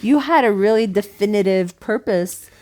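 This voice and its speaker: A woman's voice: mid-range voice, normal speed, mellow. A woman co-hosting a podcast in casual conversation, talking in an earnest, rambling, musing way. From South America. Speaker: podcast co-host.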